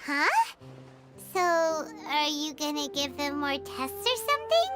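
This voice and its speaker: A woman voicing a tiny floating female companion creature, chirpy and eager, with a squeaky childlike voice. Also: very animated, slow, heavily acted. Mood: puzzled. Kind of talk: game voice acting. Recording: game audio over music.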